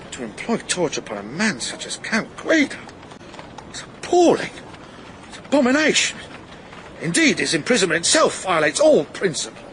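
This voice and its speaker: A pompous, opinionated gentleman in a radio play. He declaims indignantly, his pitch rising and falling widely. Clear background noise surrounds the voice. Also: huge pitch swings, normal speed, exaggerated voice, rough recording. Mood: outraged. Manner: oratorical, emphatic. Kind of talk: radio drama acting.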